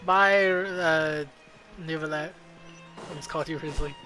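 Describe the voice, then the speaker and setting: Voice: medium pitch, fairly even intonation, a little slow, faint music behind. A streamer giving casual, crude and irreverent game commentary, sometimes reading the dialogue aloud.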